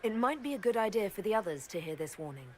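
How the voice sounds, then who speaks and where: An actress playing a composed, diplomatic heroine and leader in a video game, measured, polite and assured. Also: female voice, mid-range voice, big pitch swings, slight background noise, natural voice.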